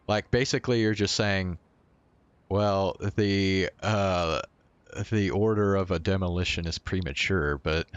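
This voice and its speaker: A man's voice: low-pitched, smooth, no echo, muffled recording. A man giving casual commentary as he streams a game, speaking into a close microphone.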